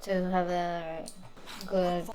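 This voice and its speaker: A woman's voice: lowish voice, little pitch movement, very slow, crowd noise. A woman streaming a video game, musing casually and hesitantly as she reacts to the story. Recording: game audio and music behind.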